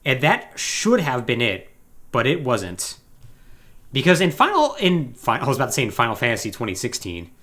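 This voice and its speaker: A man's voice: mid-range voice, huge pitch swings, normal speed, pushed and bright. A man talking casually as a podcast co-host, explaining game storylines in a rambling, joking, exasperated way.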